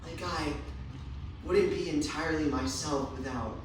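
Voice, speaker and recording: A man's voice: a little high, unhurried, natural voice. Man acting anxious, heartbroken patient in echoey hall. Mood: troubled. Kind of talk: student readers theatre stage performance. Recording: echoey room recorded from far off, faint noise.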